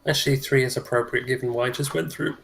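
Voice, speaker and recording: A man's voice: mid-range voice, fairly even intonation, fast, creaky, noisy background. A man playing in a live tabletop game, trading dry, teasing banter. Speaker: player. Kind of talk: casual tabletop game chat. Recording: music playing underneath.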